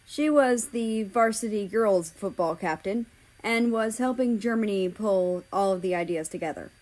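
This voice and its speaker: A woman's voice: medium pitch, normal speed, darkish tone, poor audio. A woman narrating fan fiction in an audiobook style, acting out the dialogue between passages.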